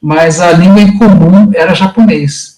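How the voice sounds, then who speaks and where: A man's voice: high-pitched, measured pace, roomy sound, a crowd in the background, rough recording. A man in a casual study-group discussion on a video call, talking hesitantly with many restarts and fillers. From Brazil.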